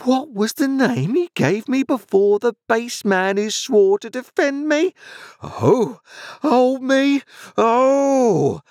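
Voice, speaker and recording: A man voicing a shrill, scolding married woman who works herself into a melodramatic rage in a storybook reading. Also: lively intonation, takes it slowly, darkish tone, dry recording, clean studio sound, heavily acted. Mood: shrieking hysteria. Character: shrill shrewish middle-aged married woman in a mounting rage.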